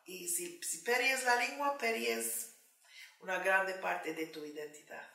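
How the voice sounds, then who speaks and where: A woman from Turkey whose first language is Turkish, speaking slowly and thoughtfully with many pauses in a documentary interview. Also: fairly low pitch, animated, bright, forceful tone. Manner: slow, thoughtful, with frequent pauses and fillers. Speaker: Ladino language advocate interviewee. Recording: roomy sound, mic at a distance.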